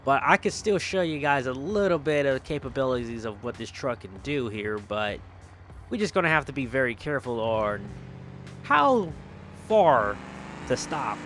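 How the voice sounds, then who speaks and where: A man's voice: medium pitch, animated, normal speed, a bit bright, a little hiss or hum. A man hosting a gaming video with casual, rambling commentary, often repeating himself for emphasis. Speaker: video game vlogger, host.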